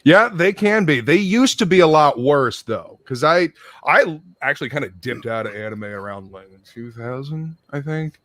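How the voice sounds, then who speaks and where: A man's voice: on the high side, expressive, normal speed, fairly bright tone, no echo, clean studio sound. A man hosting a casual live stream chat, rambling between topics, swearing freely and greeting viewers in the chat. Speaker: stream host.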